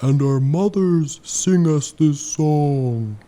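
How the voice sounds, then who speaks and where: A man's voice: fairly high pitch, mellow, pitched above the performer's own voice. A man doing a sobbing, soulful beluga whale. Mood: crooning. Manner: sobbing, slow and pitiful. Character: sad trapped male beluga whale, soulful singer.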